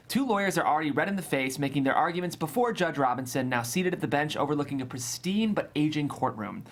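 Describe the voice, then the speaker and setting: A narrator reading scripted stage directions at a table read in a deliberate, descriptive way. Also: mid-range voice.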